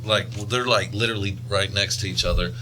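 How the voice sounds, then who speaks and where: Male guest, rapper, low bright voice, easygoing chatty delivery. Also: steady tone, fairly quick, slight background noise, poor audio. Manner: laid-back, many filler "like"s. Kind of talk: casual podcast chat.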